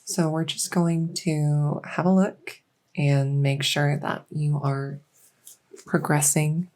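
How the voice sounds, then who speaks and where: Woman playing a gentle, low-voiced fae doctor. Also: level tone. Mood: gentle, reassuring. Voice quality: soft, occasionally whispery. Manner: unhurried, reassuring, hesitant pauses. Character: gentle female fae doctor with buggy wings and scaly tail. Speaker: audio roleplay performer. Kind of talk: soft-spoken ASMR medical roleplay.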